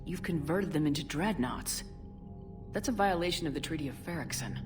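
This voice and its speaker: Low-voiced, tough military commander. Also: female voice, expressive, a little fast, music in the background. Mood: serious, probing. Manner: direct, probing, controlled. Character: tough, direct female human military commander. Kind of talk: video game voice acting.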